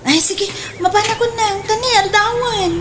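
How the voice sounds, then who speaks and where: A woman's voice: very high-pitched, normal speed, over music. A woman playing an eager, enterprising grown daughter in a radio drama, with an Ilocano accent and exclamatory, swooping delivery. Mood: excited. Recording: faint background noise.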